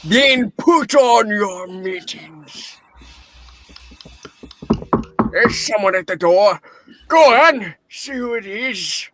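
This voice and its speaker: A man's voice: high voice, lively intonation, slow, background noise. A man playing a grumpy, miserly old boss in a radio-style play reading, irritable and scornful as he barks out his words. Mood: scolding, scornful.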